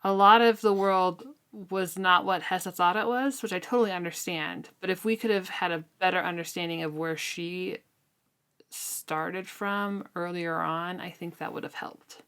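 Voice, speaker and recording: Low, clear-voiced woman chatting about books. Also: steady tone, moderate pace, fairly bright tone. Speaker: co-host of a book read-along podcast. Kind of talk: casual book discussion.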